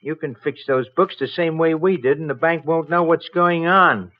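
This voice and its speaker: An actor playing a cocky, bullying ex-convict blackmailer in an old-time radio crime drama, domineering and needling. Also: male voice, a little high, moderate pace, lightly acted. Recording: dull vintage radio recording.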